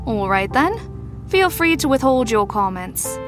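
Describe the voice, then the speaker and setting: A woman playing a shrewd, teasing adult mentor to troubled teens in an audio drama, with a measured, knowing, probing delivery. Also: a little high, very animated, music in the background. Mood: shrewd, needling.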